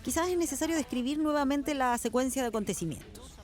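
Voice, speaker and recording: A woman playing a measured, formal news broadcaster in an audio drama, describing events to a colleague. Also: high-pitched, a little mellow, close to an everyday voice. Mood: measured, serious.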